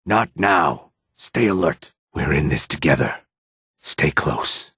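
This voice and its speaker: An actor playing a weary, guarded male lizard-folk character in a fantasy video game, speaking in a measured, formal way with a raspy, rumbling voice. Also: expressive, fairly bright tone, dry recording, clean studio sound, exaggerated voice. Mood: tense, alert. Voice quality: raspy, husky, low rumbling.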